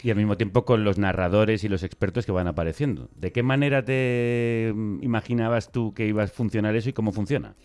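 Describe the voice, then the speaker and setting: A man's voice: on the low side, a little fast, few pauses, darkish tone, smooth. A man with a Spain Spanish accent hosting a podcast, chatting and asking questions casually. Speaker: podcast host. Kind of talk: casual chat and interviewing.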